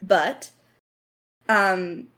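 A woman's voice: mid-range voice, fairly even intonation, drawn-out words, fairly bright tone, low-quality recording. A woman talking casually and reflectively as a writer in a Q&A, with some self-deprecating humour. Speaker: lead writer of an audio drama. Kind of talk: casual Q&A discussion.

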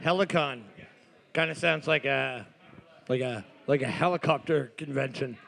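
A man co-hosting a trivia night, throwing in absurd deadpan jokes and egging the teams on. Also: medium pitch, normal speed, creaky. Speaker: co-host. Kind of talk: joking banter.

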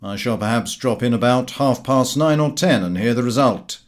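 A man's voice: mid-range voice, expressive, moderate pace, close to an everyday voice. A man voicing a blunt, mocking male skeptic in an audiobook, brusque and emphatic. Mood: challenging.

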